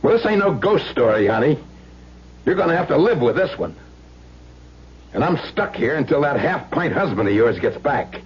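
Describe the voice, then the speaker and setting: A man's voice: a little high, sing-song, moderate pace, long pauses, a little hiss or hum. An actor playing a hardened, desperate ex-convict who talks in menacing tough-guy slang in a radio thriller. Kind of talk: old-time radio thriller acting. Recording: dull vintage radio recording.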